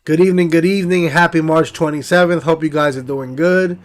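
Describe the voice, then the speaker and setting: A young man with a congested, nasal voice hosting a casual post-game sports stream, giving shout-outs and running through stats with plenty of fillers. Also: on the high side, fairly even intonation, fairly quick. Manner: hype, shout-outs, stat rundown with fillers. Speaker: sports podcast host.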